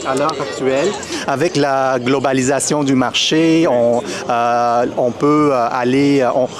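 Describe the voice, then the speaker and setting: A man's voice: medium pitch, steady tone, a little slow. Hesitant Vietnamese-born man speaking outdoors. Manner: hesitant, many fillers and restarts. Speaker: festival volunteer. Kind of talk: street interview. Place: outdoors at a city festival.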